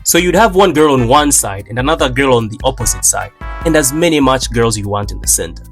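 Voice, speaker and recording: A young man from Tanzania, telling funny childhood stories in a slangy, animated way. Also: mid-range voice, brisk, faint music behind. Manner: animated, slangy, playful delivery with dramatic swings. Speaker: animator narrating his own channel. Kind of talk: comedic storytime narration.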